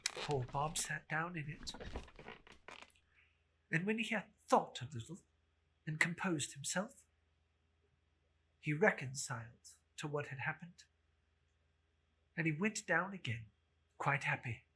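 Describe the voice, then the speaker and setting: A story narrator giving a theatrical, measured dramatic reading of a literary tale. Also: mid-range voice, lively intonation, fairly bright tone, a little hiss or hum.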